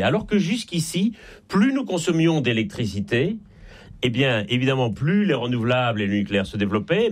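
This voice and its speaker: A man's voice: medium pitch, a little mellow. A man with a Belgian French accent explaining things in an articulate, didactic way as an expert guest in a radio interview. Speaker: guest expert and author on energy transition.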